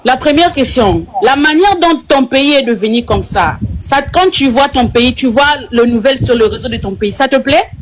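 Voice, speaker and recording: A woman's voice: high-pitched, lively intonation, normal speed, few pauses, slight background noise. A woman from Congo, calling into a live stream and speaking earnestly, repeating words for emphasis. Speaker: caller on a livestream.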